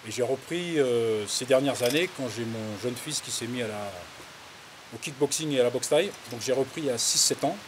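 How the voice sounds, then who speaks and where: Man, 50s, from the Paris suburbs. Medium pitch, colloquial and halting storyteller in an interview, faint-to-clear hiss on the recording. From Paris suburbs, France. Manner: hesitant, rambling, colloquial. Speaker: interviewee, farmer. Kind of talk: casual autobiographical interview, recounting anecdotes.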